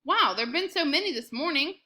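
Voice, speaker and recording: Woman reading as an eager, bright-voiced young boy. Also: high-pitched, lively intonation, fairly quick, pitched above the performer's own voice. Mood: surprised, reasoning. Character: enterprising young boy, eager and a bit sarcastic.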